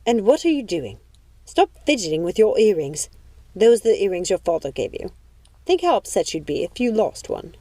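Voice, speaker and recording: A woman's voice: mid-range voice, very animated, moderate pace, dark tone, no echo. A woman voicing an older aristocratic mother, testy, commanding and composed, in an audiobook story. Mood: reproving. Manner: commanding, reproving.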